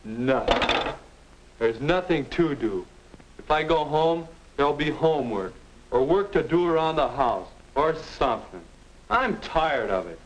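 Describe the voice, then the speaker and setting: A young man with an American accent playing a sullen, defensive high school boy with no aim, grumbling in a 1950 instructional film. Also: animated, unhurried. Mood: sullen, dismissive. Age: young adult. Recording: noisy, clicking 1950 film soundtrack.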